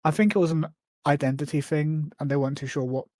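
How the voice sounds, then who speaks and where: A man's voice: a little high, quick pace, a little mellow, no room sound, studio quality. A man co-hosting a podcast in casual chat, hesitant, with many ums and restarts. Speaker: podcast co-host.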